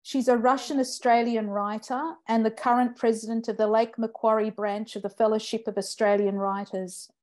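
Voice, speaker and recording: A middle-aged woman hosts a poetry evening on a video call. She introduces the readers in a slightly high-pitched voice. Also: little pitch movement, moderate pace, few pauses, a little mellow. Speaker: host of a poetry open mic. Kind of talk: introducing speakers, reading biographies. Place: indoor study with bookshelves. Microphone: video call with wired earphones.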